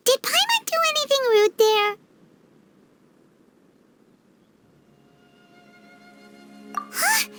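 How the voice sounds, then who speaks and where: A woman voicing a small, chirpy, curious flying fairy companion in a video game, with a squeaky childlike voice and expressive delivery. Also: exaggerated voice. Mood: sheepish, worried. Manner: refers to self in third person, expressive. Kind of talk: video game character acting. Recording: background music under the voice.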